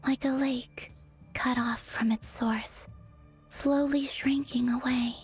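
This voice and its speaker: An actress playing a weary, melancholy moon goddess in a video game, breathy and whispery, speaking with slow resignation. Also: female voice, sits high, steady tone, soft background music.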